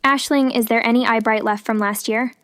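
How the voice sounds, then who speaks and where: A woman's voice: on the high side, fairly even intonation, a little fast, a bit bright, no echo, natural voice. A woman voicing a kind young potion-making witch in a storybook reading, friendly and lightly teasing.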